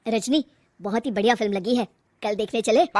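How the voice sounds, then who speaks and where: A woman voicing a gentle young husband who coaxes and questions his wife. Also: a little high, fairly quick, natural voice. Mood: coaxing. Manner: coaxing, earnest.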